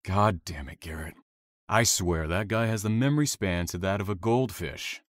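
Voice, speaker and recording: A man playing a reserved, quietly intense train operator with veiled menace in an audio drama, speaking in a measured, courteous way. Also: low voice, dry recording, studio quality, close to an everyday voice. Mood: irritated.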